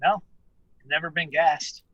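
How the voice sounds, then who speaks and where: A relaxed man in his late thirties talks shop with easy laughs and the odd curse. His voice sits slightly high and sounds bright and forceful, recorded dry and close. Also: slow, dry recording, muffled recording. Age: 30s. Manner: laid-back, laughing, profane. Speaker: guest, pro wrestler. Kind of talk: casual chat.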